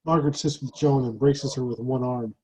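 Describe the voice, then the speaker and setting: A man's voice: medium pitch, fairly even intonation, brisk, warm tone, husky, rough recording. A man narrating stage directions in a scripted, matter-of-fact reading style. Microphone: video call.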